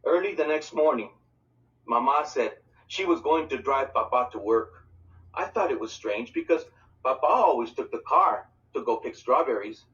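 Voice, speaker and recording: A man reading a children's novel aloud as an expressive, dramatic narrator. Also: medium pitch, normal speed. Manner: dramatic, voices dialogue for characters. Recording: distant mic, dull lo-fi sound.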